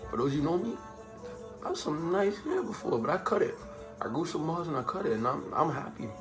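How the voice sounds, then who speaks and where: A man's voice: fairly high pitch, big pitch swings, a little fast, music in the background. A young man from the Bahamas answering viewer questions in a casual, joking, slang-filled vlog chat. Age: young adult. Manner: laid-back, joking, streetwise slang.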